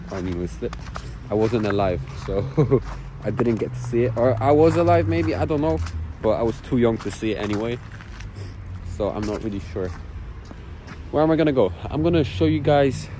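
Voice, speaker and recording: A young man from Poland with a Polish accent, speaking English as a second language, rambling through a casual walking-tour vlog on a phone outdoors. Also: mid-range voice. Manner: rambling, self-correcting. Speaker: travel vlogger. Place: outdoor town square. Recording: outdoor street noise.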